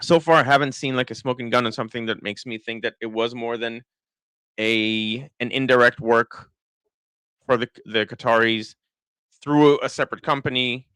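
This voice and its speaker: Israeli guy who sounds american, crisp clear voice, rambling live commentary w lots of ums. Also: medium pitch, fairly even intonation, moderate pace, bright, forceful tone, dry recording, rough recording. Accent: American-sounding English. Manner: thinking aloud, many restarts and fillers. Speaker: political commentator. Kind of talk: live-stream commentary reading chat.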